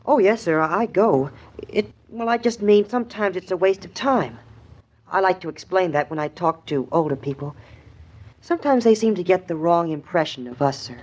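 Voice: medium pitch, lively intonation, moderate pace, darkish tone, lightly acted. An actor playing a polite, self-serious prep-school teenage boy in a 1950s radio comedy, speaking formally and with some hesitation. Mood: earnest, formal. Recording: dull old radio broadcast recording.

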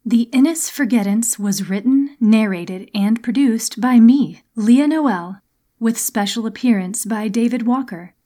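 A woman's voice: a little high, a little slow, dark tone. A woman narrating a scripted audio drama as its storyteller. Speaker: narrator, writer and producer.

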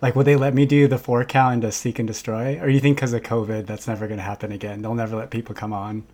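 A man's voice: mid-range voice, flat delivery, fast, darkish tone. 40ish so-cal guy, chatty and eager, mid voice, laughs a lot. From Southern California. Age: 40s. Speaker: guest. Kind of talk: casual chat.

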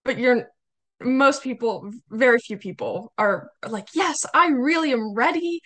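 Slightly hoarse young woman podcast host. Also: a little high, animated, a little slow. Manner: chatty, warm hesitations with fillers. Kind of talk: casual podcast interview.

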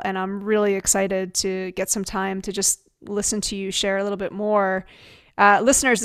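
A woman's voice: medium pitch, vocal fry. Earnest woman podcast host. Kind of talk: conversational podcast hosting.

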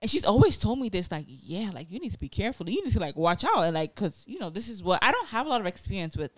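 A woman's voice: medium pitch, very animated, quick pace, no room sound. Rambling Nigerian-raised woman in her twenties. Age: 20s. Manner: rambling, many fillers and restarts. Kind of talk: casual podcast chat.

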